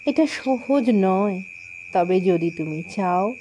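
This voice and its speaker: A gentle widow in her thirties, voiced by a woman narrator, speaks softly and hesitantly. Her tone is mellow and slow, with faint noise underneath. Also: mid-range voice, expressive, pitched below the performer's own voice. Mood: consoling. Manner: soft, hesitant, reassuring.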